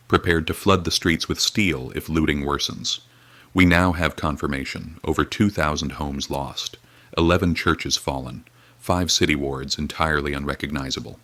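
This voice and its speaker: An actor playing a weary, grim radio reporter, speaking in a solemn, measured, grave way. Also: male voice, rumbling low, fairly bright tone, dry recording. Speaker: field reporter. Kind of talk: dramatic period radio reporting.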